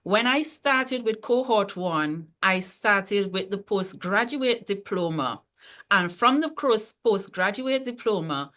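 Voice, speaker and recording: A woman from Tobago speaks as a librarian guest on an online panel. Her tone is bright and forward, and she lays out her points in a measured, explaining way. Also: medium pitch.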